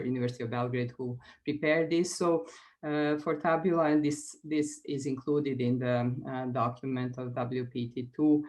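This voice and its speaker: A woman in her sixties with a Serbian accent, giving an online technical presentation in a halting, hesitant way. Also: a bass voice, a little mellow. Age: 60s. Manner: hesitant, halting phrasing with frequent pauses. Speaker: expert presenter, structural engineer.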